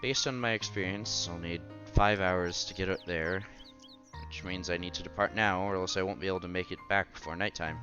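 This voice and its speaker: A man's voice: low-pitched, steady tone, fairly quick, muffled recording. A man reading a visual novel aloud as a streamer, mixing narration with casual, conversational asides. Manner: breaks off to react conversationally. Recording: music under the voice. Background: background game music.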